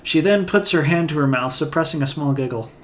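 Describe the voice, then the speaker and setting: A man's voice: on the high side, fairly quick. A man casually reading a game's dialogue and narration aloud, often hesitating as he reads. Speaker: game let's player reading dialogue aloud.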